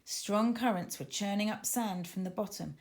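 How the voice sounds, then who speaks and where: A woman reading her children's book aloud as a dramatic, suspenseful and expressive narrator. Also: medium pitch, normal speed. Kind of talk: expressive scripted story narration.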